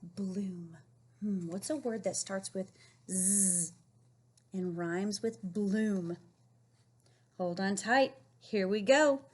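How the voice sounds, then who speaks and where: A woman reading a picture book aloud to young children, encouraging and questioning them in an animated way with a husky, breathy voice. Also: medium pitch, very slow, pauses often. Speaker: read-aloud storyteller teaching young children.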